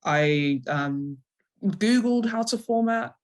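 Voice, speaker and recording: Female interview guest, London English, medium pitch. Thinks aloud, rephrases as she goes, informal and considered. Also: lively intonation, takes it slowly. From London, England. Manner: hesitant, reflective, self-correcting. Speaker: interviewed guest, comic book writer. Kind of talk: conversational interview answers.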